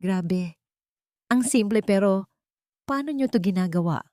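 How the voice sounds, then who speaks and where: A woman's voice: medium pitch, animated, a little slow, mellow, no room sound, studio quality, lightly acted. A woman voicing a courteous, friendly and admiring male food vlogger in an audio drama. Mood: amazed.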